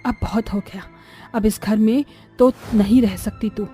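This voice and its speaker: Woman playing cruel scolding old mother-in-law, soft-edged tone. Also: mid-range voice, steady tone, measured pace, soft background music, close to an everyday voice. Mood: furious, threatening. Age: older. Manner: harsh, taunting, scolding. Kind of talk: dramatized Hindi family storybook cartoon.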